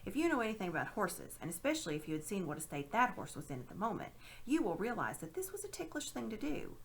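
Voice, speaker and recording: A woman reading a children's story aloud with dramatic, expressive narration. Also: mid-range voice, fast, vocal fry, breathy edge. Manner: dramatic, animated. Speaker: storybook reader.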